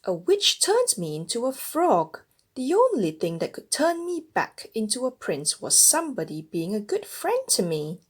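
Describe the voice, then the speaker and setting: A woman voicing a gentle, grateful young prince in a storybook, speaking earnestly. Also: a little high, huge pitch swings, moderate pace, breathy edge, full character voice.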